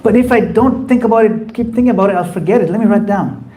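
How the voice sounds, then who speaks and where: Man teaching, mellow and slightly high. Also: fast talker. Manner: conversational, acts out quoted voices. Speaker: spiritual teacher. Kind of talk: temple class with Q&A. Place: temple hall.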